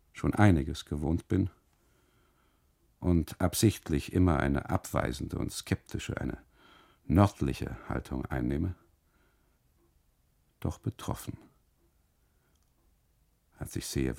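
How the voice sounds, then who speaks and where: A man narrating a literary radio monologue in deliberate phrases, with dramatic pauses and emphasis. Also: very deep voice, gravelly, warm tone. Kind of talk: literary first-person narration, 1980s German radio play.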